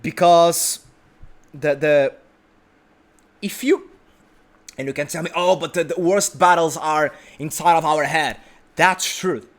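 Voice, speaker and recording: A man's voice: fairly high pitch, slow pace, pauses often. A young man giving an emphatic motivational talk, repeating his points and often asking "right?". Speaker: motivational speaker. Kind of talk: motivational monologue.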